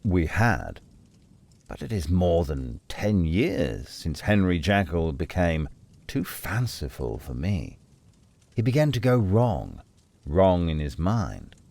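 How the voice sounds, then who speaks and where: Man acting very deep-voiced, boisterous old doctor. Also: very animated, slow pace, darkish tone, exaggerated voice. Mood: indignant. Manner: theatrical, decided, indignant. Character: hearty, boisterous, opinionated old gentleman doctor. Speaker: voice actor in audio drama. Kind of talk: dramatic audio drama dialogue.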